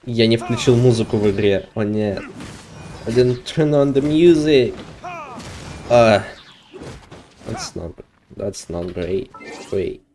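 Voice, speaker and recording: A man's voice: medium pitch, expressive, slow, long pauses, mellow, a little hiss or hum. A man, a native Russian speaker, giving casual, hesitant, muttered commentary as he streams a video game. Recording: faint game music behind.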